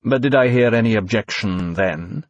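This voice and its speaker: A man doing a calm, cryptic masked man. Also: fairly low pitch, no room sound, highs cut off, close to an everyday voice. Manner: calm, measured and neutral. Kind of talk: audiobook character voice.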